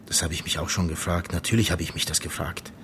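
An actor playing the grave, loyal friend of a murdered man in a radio drama, speaking in an earnest, measured way. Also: sits low, level tone, fairly bright tone, slight background noise. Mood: earnest, firm. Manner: measured, earnest, telling things step by step. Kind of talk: German radio drama dialogue.

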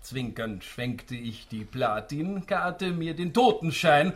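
An actor playing a smug, spry old tycoon patriarch in a rhymed radio play, theatrical and savouring each line. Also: male voice, on the high side, expressive, slow pace. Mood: sly, gleeful. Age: older.

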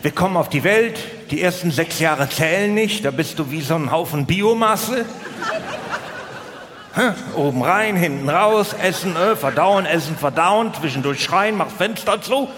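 High-pitched middle-aged man, stand-up storytelling, colloquial, live hall reverb, audience behind. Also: lively intonation, measured pace, fairly bright tone, slight background noise. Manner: colloquial, with frequent tag questions. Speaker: stand-up comedian. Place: live stage in a large hall. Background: audience laughter.

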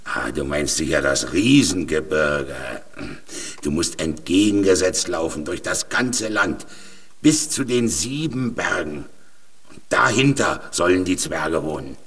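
An actor in a children's radio play, playing a resigned, weary old vagabond with a rough, husky voice and a plain-spoken manner. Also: male voice, deep voice, lively intonation, unhurried, fairly bright tone, recorded from a distance, heavily acted. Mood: advising.

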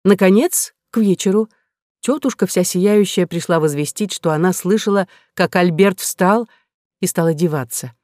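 A woman's voice: lowish voice, normal speed, a little mellow, dry recording, clean studio sound. A woman reading a classic novel aloud as a scripted first-person narration. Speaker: audiobook narrator.

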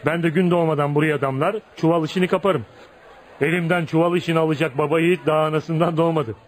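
A noisy radio drama recording of a man playing a young dockworker with a slightly high voice. He is blunt and streetwise, swaggering and lecturing like a tough guy, with a kind streak underneath. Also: fairly even intonation, fast talker, natural voice. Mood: cocky, friendly. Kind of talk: Turkish radio theatre acting.

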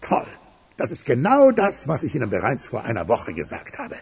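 A man's voice: medium pitch, huge pitch swings, normal speed, vocal fry, breathy edge, full character voice. An actor playing an impatient, domineering boss in a German radio crime drama, commanding and sardonic with his subordinates. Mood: stern, sardonic.

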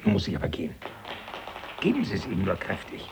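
An actor playing an older man, Darwin's fond, jovial old teacher, who talks warmly and chuckles. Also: sits low, wildly swooping pitch, fast, creaky, background noise, exaggerated voice. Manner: warm-hearted, chuckling, confiding. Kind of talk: 1980s German radio play acting.

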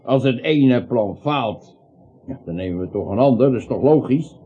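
A man's voice: medium pitch, lively intonation, moderate pace, a little hiss or hum, natural voice. An actor in a Dutch radio play, playing a brusque, self-assured policeman with an assertive, matter-of-fact delivery. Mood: persuasive. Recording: dull, muffled radio play recording.

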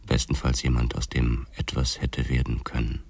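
An actor playing a weary police detective, narrating a murder case in sombre, deliberate confession for a radio drama. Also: male voice, extremely low pitch, completely flat delivery, darkish tone, heavily acted. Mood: sombre, brooding. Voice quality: gravelly, husky. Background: faint music.

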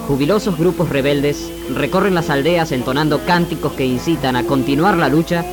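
A man with an Argentine accent delivers a scripted radio monologue in a measured, formal, rhetorical manner as the host. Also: fairly high pitch. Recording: 1969 AM radio archive, music bed. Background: music.

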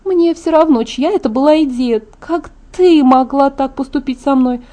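A woman voicing an excitable, pushy, sisterly young female vampire in a storybook reading, pleading and insistent. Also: sits high, moderate pace, pitched above the performer's own voice, close to an everyday voice. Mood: hurt, reproachful.

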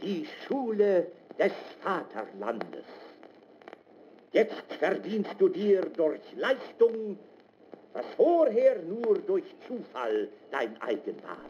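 An actor playing a paternal army lieutenant colonel in a radio drama, lecturing on duty in a lofty, deliberate way. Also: male voice, high voice, sing-song, exaggerated voice. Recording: muffled narrow band, faint noise.

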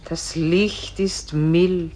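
Solemn spirit lady guiding a soul, slow drawn-out phrases, poetic radio play. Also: lowish voice, fairly even intonation, darkish tone. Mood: urging, exalted.

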